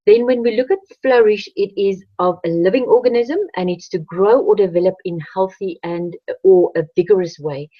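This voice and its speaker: A woman co-hosting a webinar who reads out dictionary definitions in a measured, steady way. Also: medium pitch, no echo. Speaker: webinar co-host. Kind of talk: presenting a webinar, reading definitions. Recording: dull, dry lo-fi audio.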